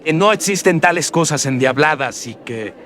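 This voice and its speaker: A man's voice: on the high side, expressive, moderate pace, fairly bright tone, voice pushed up. A man voicing an earnest colonial townsman with emphatic, old-fashioned formal speech in a horror radio drama. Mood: defiant.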